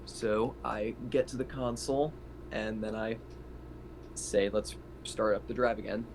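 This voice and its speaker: A man with a lowish voice talks casually as a player in a tabletop roleplaying game. He describes his character's actions in plain conversational speech. There is clear ambient noise behind him. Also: fairly even intonation, measured pace.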